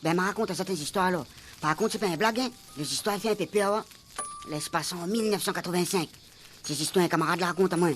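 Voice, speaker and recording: A woman's voice: on the low side, quick pace, a little hiss or hum, close to an everyday voice. A woman voicing a bossy but good-natured island man with a Réunion Creole accent, chiding and colloquial in a comic radio serial. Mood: animated.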